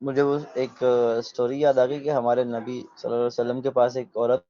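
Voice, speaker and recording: A man in his thirties in a casual video chat, relaxed and opinionated, slipping English phrases into his talk. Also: medium pitch, normal speed. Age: 30s. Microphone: video call mic. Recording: dull lo-fi video call audio.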